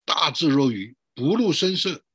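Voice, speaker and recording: A male pastor giving a sermon in an emphatic way, building up repeated lists and rhetorical questions, with a husky voice. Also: on the high side, very animated, measured pace, fairly bright tone, no room sound, studio quality. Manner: emphatic, repetitive listing.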